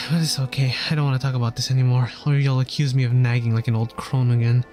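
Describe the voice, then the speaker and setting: A male game streamer reads story text aloud in an earnest way. His pitch is average for a man, and he sometimes stumbles over a word. Faint game music plays behind him. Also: steady tone, quick pace, a little mellow. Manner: earnest, stumbles over words while reading.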